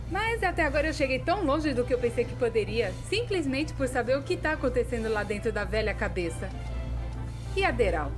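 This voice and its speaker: A woman voicing an earnest, expressive animated narrator in her twenties, with a Brazilian Portuguese accent, in scripted storytime style. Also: sits high. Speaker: fan dub voice actor. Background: music.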